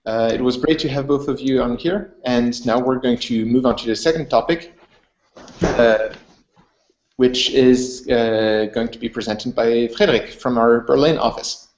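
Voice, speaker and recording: A man's voice: medium pitch, measured pace, darkish tone, a little hiss or hum. A man hosting an informal online talk, hesitant and often repeating his words. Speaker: host. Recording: roomy, muffled online call audio.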